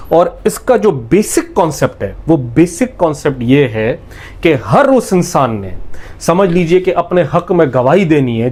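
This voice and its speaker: A Pakistani-accented man with a fairly high voice teaches in a methodical lecture style. He lays out each point step by step and restates it with emphasis, dropping English technical terms into his explanation. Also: darkish tone. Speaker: law lecturer.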